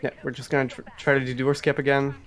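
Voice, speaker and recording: A man's voice: medium pitch, completely flat delivery, quick pace, a bit bright. A man giving casual live commentary on a video game speedrun, explaining as he goes.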